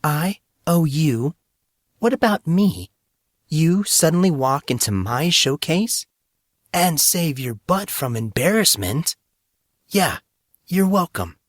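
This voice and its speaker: A man voicing a proud, sharp-tongued young woman in a fantasy story, indignant and sarcastic. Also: on the high side, big pitch swings, slow, long pauses, no room sound, clean studio sound, voice pushed up, heavily acted.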